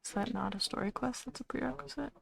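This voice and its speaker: A woman's voice: sits high, fast, creaky, a little hiss or hum. A woman streamer giving casual gameplay commentary, musing aloud to herself as she plays. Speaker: video game streamer. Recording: game music behind the voice. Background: video game music.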